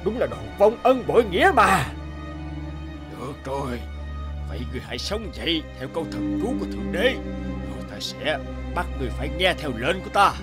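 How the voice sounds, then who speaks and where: A man voicing a wicked, short-tempered horned demon in a cartoon, theatrical as he mutters and barks commands. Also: on the high side. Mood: angry. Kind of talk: cartoon voice acting. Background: background music.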